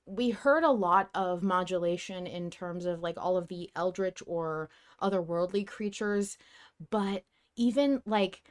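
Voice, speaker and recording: A woman's voice: fairly low pitch, unhurried. A woman hosting a podcast in casual fan-chat style, gushing with enthusiasm and swearing casually. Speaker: podcast host.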